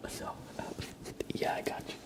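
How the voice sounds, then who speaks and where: A man doing a whispered ASMR livestream, chatting to viewers in a breathy, playful, teasing way with dry humor. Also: moderate pace. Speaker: ASMR live streamer.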